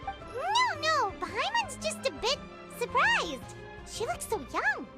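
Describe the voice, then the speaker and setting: A woman's voice: very high voice, wildly swooping pitch, slow, over loud music, full character voice. A woman voicing a tiny, bubbly fairy girl companion in a video game who refers to herself in the third person. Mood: chirpy.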